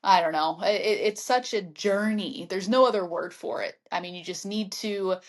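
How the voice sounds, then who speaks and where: A woman's voice: medium pitch, moderate pace. A woman guest, a real estate agent and mindset coach, talking in an earnest, reflective way in casual interview chat. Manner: reflective, earnest, frequent "I mean" and "yep".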